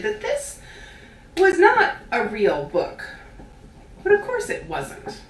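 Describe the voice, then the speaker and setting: A woman giving an expressive read-aloud of a literary essay. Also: on the high side. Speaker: reader. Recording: roomy, distant mic, faint noise.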